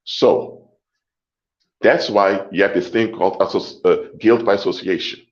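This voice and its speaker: A man hosting a livestream, lecturing deliberately and emphatically, repeating his points and closing them with short clinchers. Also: low voice, steady tone, dull audio. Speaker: livestream host. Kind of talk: lecturing monologue to a live audience.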